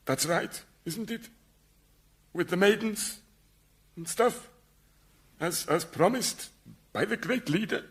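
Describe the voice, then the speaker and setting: A high-voiced, plaintive zealot. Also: male voice, slow pace, pauses often, fairly bright tone, exaggerated voice. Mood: hesitant, deflated. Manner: earnest, plaintive, hesitant. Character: earnest, gullible, tearful young male would-be martyr. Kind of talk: radio sitcom acting.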